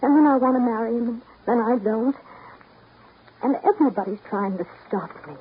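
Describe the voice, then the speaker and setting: A woman's voice: fairly high pitch, a little fast, breathy edge. An actress in a 1930s radio drama playing an anxious young woman, intimate and imploring as she pleads. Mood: torn. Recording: muffled, noisy 1930s radio broadcast recording.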